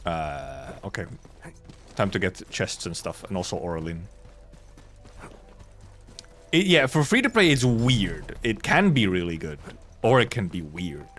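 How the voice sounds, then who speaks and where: A man's voice: deep voice, big pitch swings, unhurried, pauses often, fairly bright tone, slight background noise. A man livestreaming a game, chatting casually in a rambling, blunt, sweary way. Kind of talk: casual gaming stream chat.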